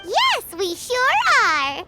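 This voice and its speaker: A woman voicing a tiny, excitable, blunt childlike companion in an anime-style video game, squeaky and exclamatory. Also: slow pace, full character voice. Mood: excited, cheerful. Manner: refers to herself in the third person, exclamatory. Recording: music behind the voice.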